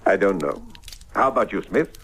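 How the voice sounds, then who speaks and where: A low-voiced, gentle French painter and teacher in an old radio play. His delivery is mannered and philosophical, with lively rises and falls. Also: fairly quick, husky, heavily acted. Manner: hesitant, mannered, courtly. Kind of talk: old-time radio drama acting.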